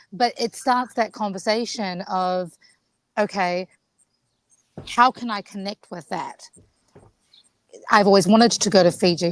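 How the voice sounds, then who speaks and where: A woman from New Zealand, a keynote speaker, telling stories in an animated, expressive way as she asks a question. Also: mid-range voice, poor audio. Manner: animated, expressive storyteller, many restarts. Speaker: guest co-host, keynote speaker. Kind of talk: conversational interview.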